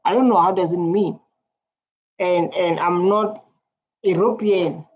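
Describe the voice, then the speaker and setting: A man's voice: high voice, steady tone, measured pace. A South African man with a Tswana accent talks casually as he reacts to clips, blunt and repetitive, and English is not his first language. The sound is dull and lo-fi, with a faint hiss under his voice. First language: Setswana.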